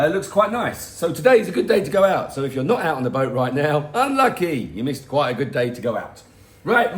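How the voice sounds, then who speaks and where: A middle-aged man with a hoarse voice that is going, giving a casual, rambling weather forecast from home with self-mocking asides. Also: on the high side, roomy sound. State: hoarse, losing his voice. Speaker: weather forecast host for a boat tour company. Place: home, in front of a garden.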